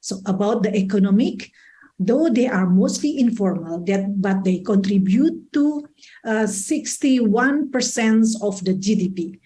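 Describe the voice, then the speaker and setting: A woman from Indonesia with an Indonesian accent, speaking as a panelist in a halting conference presentation with non-native English phrasing. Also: medium pitch, expressive, mellow, poor audio. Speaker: conference panel speaker, social economy advocate.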